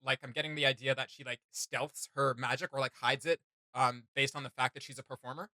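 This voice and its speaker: A man's voice: mid-range voice, fairly even intonation, brisk, bright, forceful tone, dry recording. A man co-hosting a reaction video, chatting casually in a rambling, enthusiastic way about what he likes. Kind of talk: casual opinion chat with co-host.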